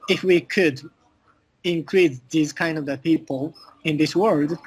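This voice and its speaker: A man with a Japanese accent answering as an interview guest, speaking halting non-native English full of filler phrases. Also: on the high side, breathy edge, low-quality recording. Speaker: interview guest, art collective communications director.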